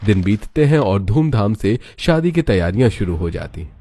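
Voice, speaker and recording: A man reads scripted narration for a cartoon tale. His voice is fairly low and distinctly dark and mellow, in a storyteller's delivery. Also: very animated, moderate pace.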